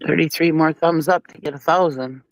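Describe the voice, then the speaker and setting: A woman's voice: low voice, fairly even intonation, normal speed, dry recording, faint music behind, poor audio. A woman with a stuffy nose from a cold, hosting a casual livestream and chatting bluntly with viewers, often laughing and swearing. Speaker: livestream commentary host.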